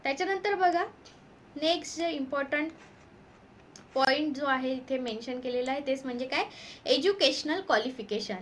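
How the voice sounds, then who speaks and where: Indian female coaching instructor, high voice, explanatory read-through with mixed-language terms, faint background noise. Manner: code-switches between Marathi and English terms. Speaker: exam coaching teacher. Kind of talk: explanatory lesson, reading notification points aloud.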